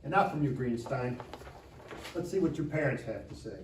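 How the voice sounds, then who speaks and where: An actor playing an authoritarian, exasperated principal of sixty in a live comedy table read. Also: male voice, mid-range voice, expressive, normal speed, natural voice. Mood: stern. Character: stern, fed-up school principal, sixty. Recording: echoey room, distant mic, faint noise.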